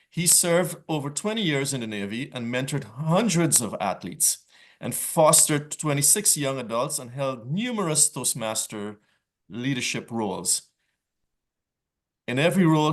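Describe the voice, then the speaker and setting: A man moderating a panel discussion, reading prepared opening remarks in brisk stretches. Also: medium pitch.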